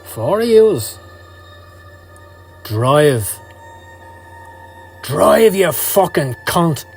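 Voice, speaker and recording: A man's voice: on the high side, very animated, drawn-out words, vocal fry, voice pushed up, heavily acted. A man voicing a down-at-heel male occult hustler in a motion comic, slangy, profane and sardonic. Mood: frantic, angry. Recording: music under the voice.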